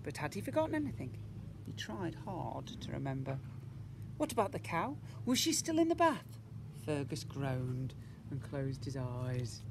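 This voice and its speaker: A man, reading a children's storybook aloud outdoors in an expressive, deliberate way with drawn-out words. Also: a little high, gravelly. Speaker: school teacher reading to young children. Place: outdoors in a school garden. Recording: outdoor recording with machinery noise.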